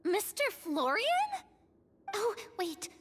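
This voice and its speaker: A woman voicing a tiny, excitable female fairy companion in a video game, exclaiming and talking about herself in the third person. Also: very high voice, takes it slowly, noisy background, full character voice. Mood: excited. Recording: game audio with music underneath.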